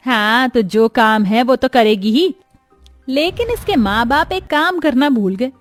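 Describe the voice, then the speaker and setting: A woman puts on an elderly, scolding mother-in-law for a family tale. She is high-voiced, bitter and melodramatic, taunting and pitying herself. The recording is dry, with music behind. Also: expressive, measured pace, dry recording. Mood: scornful. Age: older. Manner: scornful, self-pitying, theatrical complaining.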